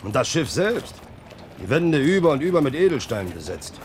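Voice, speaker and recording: An actor playing a gruff, practical soldier gossiping on campaign in a German radio play. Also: male voice, on the high side, big pitch swings, brisk, slight background noise. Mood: gossipy. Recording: 1970s radio drama, faint outdoor ambience.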